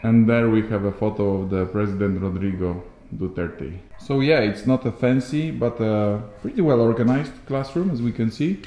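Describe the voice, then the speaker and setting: A man's voice: lowish voice, normal speed, hardly any pauses, warm tone, dull audio. A man from Poland, chatting as a travel vlogger while walking through a remote village. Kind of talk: casual walking-tour commentary. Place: outdoors in a hot remote village.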